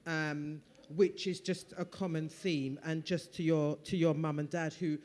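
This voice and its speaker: A man from the audience thanking the speaker and asking a question from the floor, warm and a little halting. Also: a little high, steady tone. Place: event hall. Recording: long reverb of a hall.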